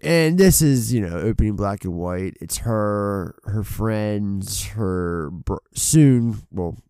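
A man's voice: on the low side, lively intonation, slow pace, dark tone, smooth, no echo, studio quality. A man with a stuffy head cold, co-hosting a casual film podcast, rambling with many fillers and casual swearing into a desk mic. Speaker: podcast co-host. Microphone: desk mic on an arm.

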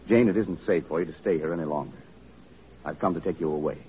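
An actor in an old-time radio drama playing a worried, protective gentleman friend, speaking in an urgent, formal, emphatic way. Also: sits low, fast, lightly acted. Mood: urgent warning. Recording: dull vintage radio recording, faint noise.

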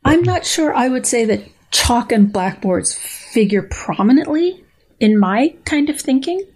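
Breathy woman reading aloud wryly. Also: a little high, lively intonation, unhurried, darkish tone, poor audio. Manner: reads passages aloud, wry answers. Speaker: guest biologist. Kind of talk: podcast interview with dramatic readings.

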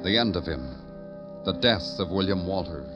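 A brooding big-city detective of 1950s radio noir. His low voice moves deliberately through somber, lyrical narration, with music playing behind it. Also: lively intonation, muffled recording. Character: weary, brooding male police detective narrating his beat.

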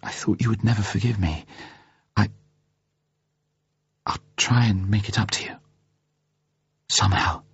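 A man voicing a guilt-ridden young woman in an audiobook, soft, hesitant and apologetic. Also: on the low side, lively intonation, a little fast, no echo, pitched above the performer's own voice. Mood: grateful, hopeful. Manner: hesitant, muffled, often hushed to a breathy whisper.

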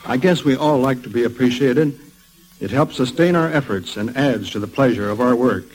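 A man with an American accent giving a formal, scripted radio address as a guest speaker, measured and earnest. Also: mid-range voice, poor audio. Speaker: education expert guest speaker.

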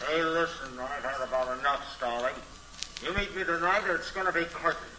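A tense, threatening radio crook. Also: male voice, fairly high pitch, a little fast, pushed and bright, a little hiss or hum, poor audio. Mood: menacing. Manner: curt, menacing. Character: impatient, threatening male crook making a secret rendezvous. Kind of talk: 1940s radio crime acting.

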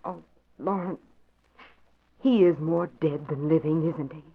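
An actress playing a troubled, soft-spoken young woman in a 1940s radio drama. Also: low-pitched, measured pace, breathy edge, heavily acted. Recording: tinny thin old radio recording.

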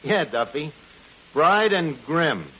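High-voiced, wisecracking bar manager. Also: male voice, very animated, slow pace, background noise. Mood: offhand. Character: brash, wisecracking bar manager mangling his English. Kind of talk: 1940s radio comedy acting. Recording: muffled 1940s radio, loud studio audience.

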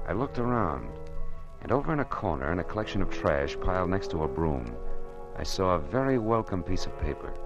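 Casting: deep, wry American private eye, clipped hard-boiled delivery, vintage radio drama with music behind. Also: male voice, rough recording. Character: hard-boiled, wisecracking male private detective. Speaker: lead actor and first-person narrator. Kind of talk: 1940s radio detective drama acting.

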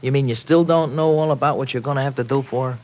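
An actor playing a brash, skeptical young working man in an old-time radio serial, slangy, folksy and excitable. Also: mid-range voice, fast talker, natural voice. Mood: agitated, doubtful. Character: brash, folksy young dairy worker, skeptical, protective boyfriend. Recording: vintage radio drama recording.

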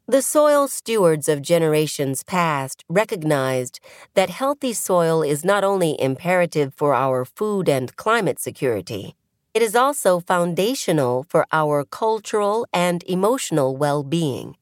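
A woman narrates an essay in a slightly low voice, recorded close and dry. Her delivery is measured and earnest, as a scripted reading. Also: lively intonation, dry recording. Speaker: audio essay reader.

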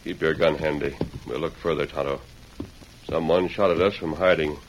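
An actor playing a steady, commanding masked western hero in an old radio drama, earnest and deliberate. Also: male voice, extremely low pitch. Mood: alert, steady. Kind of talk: 1940s radio western acting. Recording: noisy old radio recording with clicks.

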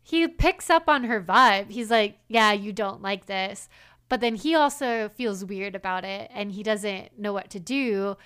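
A woman's voice: medium pitch, a little slow, clear, resonant tone. Chatty woman recapping a show. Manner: chatty, animated recaps with quoted lines and many "like" fillers. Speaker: podcast co-host. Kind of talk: casual chat.

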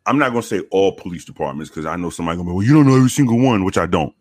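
A man from the Bronx with a very deep voice, telling profane, animated stories with many restarts in a casual interview. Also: very fast, no room sound, studio quality. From the Bronx, New York. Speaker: guest, former police officer.

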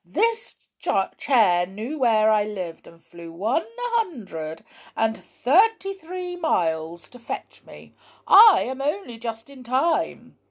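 Woman playing a little gnome doctor, brisk, chirpy, higher pitched, storybook. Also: sing-song, exaggerated voice.

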